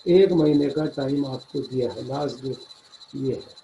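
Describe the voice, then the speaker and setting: A man's voice: mid-range voice, measured pace, dark tone. A man lecturing on law, explaining and repeating his phrases while mixing in English legal terms. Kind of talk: explanatory online law lecture with student questions. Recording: roomy echo, faint background noise.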